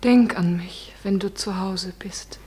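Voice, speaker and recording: An actress playing a young woman in a 1950s German radio drama, saying farewell in a numb, husky voice as if reciting by rote. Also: medium pitch, takes it slowly, darkish tone. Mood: numb, sorrowful. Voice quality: husky, breathy. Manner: rote, like a recited nursery rhyme.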